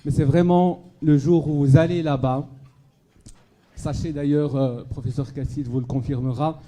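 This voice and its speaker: Man from Morocco, fairly high voice, very dark and mellow tone, halting gala speech to a crowd. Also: fairly even intonation. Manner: hesitant, many fillers and restarts, audience questions. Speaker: charity speaker at a fundraising gala. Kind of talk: public speech to a live audience. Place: banquet hall at a gala dinner.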